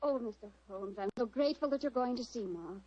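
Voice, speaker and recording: An actress playing an anxious, genteel wife, earnest and worried, in old radio drama style with muffled sound. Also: female voice, on the high side, fairly quick, dark tone, lightly acted. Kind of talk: 1940s radio drama acting. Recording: dull old radio recording.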